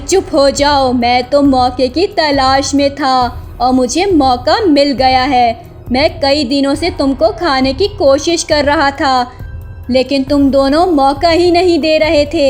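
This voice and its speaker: Woman voicing a slow, high, gloating crow villain. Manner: scheming, menacing. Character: sly, gloating male crow villain hunting chicks.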